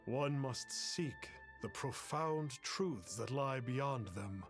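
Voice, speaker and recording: An actor voicing a masked self-styled sage who speaks in a grandiose, archaic way. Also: male voice, mid-range voice, expressive, takes it slowly. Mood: lofty. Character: masked pompous fake sage, middle-aged man. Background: game music.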